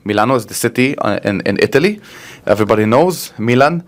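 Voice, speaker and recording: A man's voice: mid-range voice, lively intonation, very fast. A man with a Lebanese accent, a priest explaining earnestly and emphatically in a conversational interview. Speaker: Orthodox priest.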